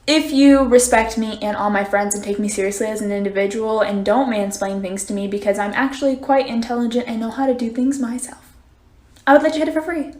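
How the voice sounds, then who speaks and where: A woman's voice: on the high side, fairly even intonation, a little fast, darkish tone. A woman speaking in a teasing, flirty way. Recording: echoey room.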